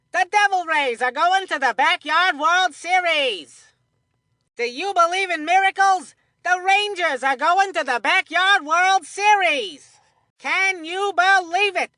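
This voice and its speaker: A woman voicing an excitable young boy baseball commentator in a kids' video game, with a theatrical, earnest announcer delivery. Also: very high-pitched, no echo, studio quality, exaggerated voice.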